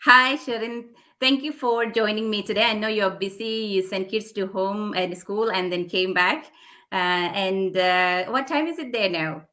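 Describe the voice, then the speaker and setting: Casting: woman live-stream host, bright and forward tone, casual, hesitant delivery with fillers. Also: mid-range voice, unhurried. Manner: friendly, hesitant, with many fillers. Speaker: host of a live online interview.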